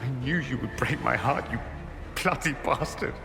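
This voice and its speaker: A man's voice: medium pitch, moderate pace, vocal fry, natural voice. A man voicing a proud, wounded romantic lover in a video game cutscene. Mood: heartbroken. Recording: echoey hall reverb, loud music behind.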